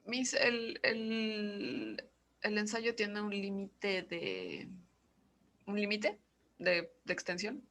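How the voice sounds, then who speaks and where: A woman's voice: medium pitch, expressive, extremely slow, a bit bright. A young woman student, talking casually in class and rambling with many fillers. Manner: rambling, full of "o sea".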